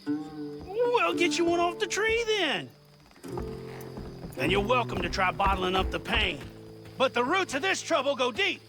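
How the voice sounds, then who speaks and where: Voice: high voice, huge pitch swings, unhurried, long pauses, music in the background, heavily acted. An actor voicing a wary, superstitious rural swamp dweller in a video game, blunt and folksy, with a Southern American accent. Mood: weary, resigned. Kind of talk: video game character dialogue.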